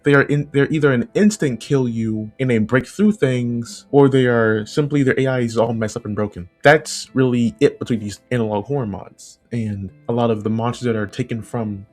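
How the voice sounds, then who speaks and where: A man's voice: medium pitch, normal speed, few pauses. A man giving informal, opinionated video commentary in a conversational way, repeating phrases for emphasis. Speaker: video essay host and analog horror creator. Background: background music.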